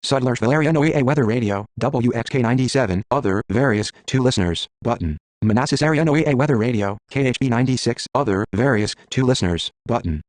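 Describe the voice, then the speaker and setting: A man's voice: mid-range voice, quick pace, hardly any pauses, no echo. A synthetic male text-to-speech voice working as a screen reader, reading out list entries in a mechanical, clipped, automated way. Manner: mechanical, clipped, reads out letters and numbers evenly. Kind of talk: flat automated list readout.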